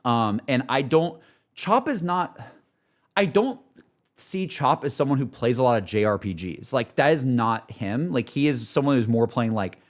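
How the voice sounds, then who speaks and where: A man's voice: mid-range voice, animated, moderate pace. A man livestreaming, chatting casually about games in an earnest, emphatic, rambling way that often circles back on itself. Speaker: game streamer. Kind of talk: casual livestream chat, emotional game reflection.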